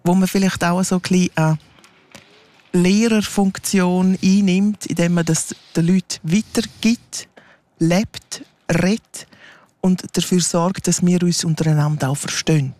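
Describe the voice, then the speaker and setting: A woman's voice: lowish voice, a little slow, dark tone, no echo. Woman explaining her valley. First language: Romansh. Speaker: guest, regional radio editor. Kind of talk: casual interview.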